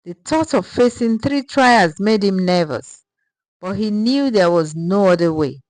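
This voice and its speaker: A woman reads a folktale as a scripted narrator. Her pace is slow and measured with a dramatic storytelling manner, and the sound is dry and close. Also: mid-range voice, lively intonation, a little mellow, no echo, poor audio.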